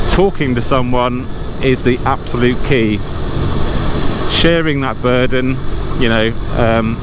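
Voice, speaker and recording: A British man talking candidly and casually, thinking aloud in a rambling monologue while riding a motorbike, on a lavalier mic in his helmet. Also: medium pitch. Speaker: motorcycle vlogger. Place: outdoors, riding a motorbike through city traffic. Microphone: lavalier inside a helmet. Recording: loud engine and traffic noise. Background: motorbike engine, traffic.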